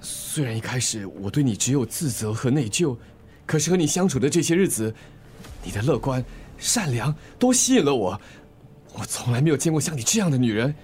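A man's voice: mid-range voice, big pitch swings, brisk, darkish tone, a little hiss or hum, natural voice. An actor playing an anxious, guilt-ridden man in love, earnest and emotional in a radio drama. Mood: guilty, earnest. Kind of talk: Chinese radio drama acting.

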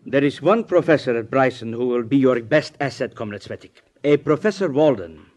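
A stern Soviet agent acted by a man who addresses his underlings with deliberate, condescending authority. His menace is controlled, in the style of a 1950s radio play, on a vintage recording. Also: medium pitch, expressive, darkish tone, soft background music, lightly acted. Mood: stern, instructing. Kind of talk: 1950s radio drama acting.

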